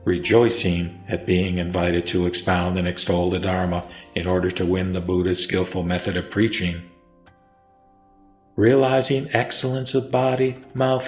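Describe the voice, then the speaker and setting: A man reciting Buddhist scripture as scripted narration, in a solemn, measured, deliberate manner. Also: sits low, darkish tone. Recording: roomy echo with music underneath. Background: background music.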